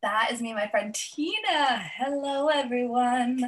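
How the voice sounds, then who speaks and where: A young woman co-hosting a podcast in casual chat, playful and self-mocking, with a slightly raspy voice. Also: a little high, unhurried. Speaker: podcast co-host.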